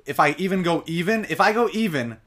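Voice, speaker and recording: A young man giving casual live commentary over his own gameplay, talking straight to his viewers, his voice a bit raspy from long sessions. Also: sits high, expressive, fast, fairly bright tone. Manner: chatty, casual, addresses viewers directly.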